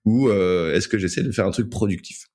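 A young man with a low-pitched voice speaks as a guest in a casual interview. He tells his story in a hesitant way, often repeating words as he looks for the next one. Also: brisk, darkish tone, slight background noise. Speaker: interview guest, co-founder of a digital media outlet.

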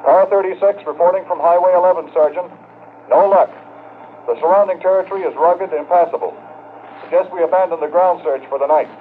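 A man's voice: high-pitched, fairly quick, lightly acted. An actor playing a dutiful patrol policeman giving clipped, formal radio reports in a 1950s radio drama. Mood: formal, urgent. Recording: tinny noisy vintage radio transmission.

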